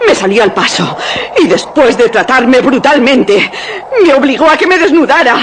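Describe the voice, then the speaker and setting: An actress playing a cunning unfaithful wife who feigns innocence in a melodramatic radio drama, pleading breathlessly. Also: female voice, sits high, lively intonation, moderate pace. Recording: noisy radio drama track.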